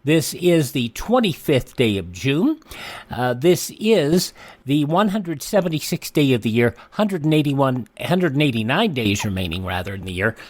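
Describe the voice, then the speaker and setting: A man's voice: medium pitch, big pitch swings, normal speed, no echo. Dry-voiced male radio host. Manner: self-correcting, offhand asides. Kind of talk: this-day-in-history rundown.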